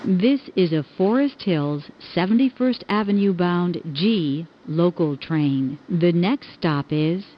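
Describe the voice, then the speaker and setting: A woman reading recorded subway announcements in a measured, formal manner. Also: on the low side, lively intonation. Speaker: transit announcer. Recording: dull, lo-fi announcement audio.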